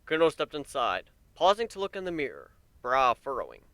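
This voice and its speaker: A male narrator reads a story in a dramatic scripted style. His voice is bright and smooth at a middle pitch. The recording is close and dry, without room echo. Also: huge pitch swings, brisk. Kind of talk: dramatic narration of fan fiction.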